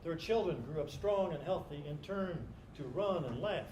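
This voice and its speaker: A man's voice: on the high side, lively intonation, normal speed, darkish tone. A man performing as a reader in a staged literary dramatization. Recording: echoey live stage recording, faint noise.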